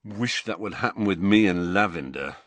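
A man's voice: deep voice, lively intonation, moderate pace, a bit bright, dry recording. A man voicing a gloomy, laid-back teenage boy. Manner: gloomy, mumbling.

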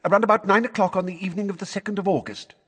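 A frail, batty old woman played by a male narrator in an audiobook, high and quavery. She sounds scared and flustered, her husky voice wavering in long reverb. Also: big pitch swings, normal speed, exaggerated voice. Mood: nervous gabbling. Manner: gabbling as if reciting by heart.

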